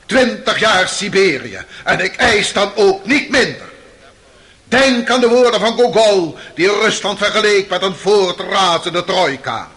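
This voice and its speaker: A man's voice: sits high, animated, measured pace, bright, forceful tone, full character voice. A man acting in a radio play as a stern, accusing prosecutor, addressing the jury in solemn, declamatory rhetoric. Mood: solemn, demanding. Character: stern, accusing male prosecutor in a Russian murder trial. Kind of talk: theatrical radio play courtroom oratory.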